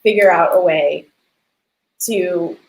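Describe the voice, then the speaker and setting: A woman's voice: lowish voice, takes it slowly, a little mellow, roomy sound, low-quality recording. A woman hosting a conversational interview about her field, speaking in an earnest, explanatory way. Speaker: host and theatre lighting designer.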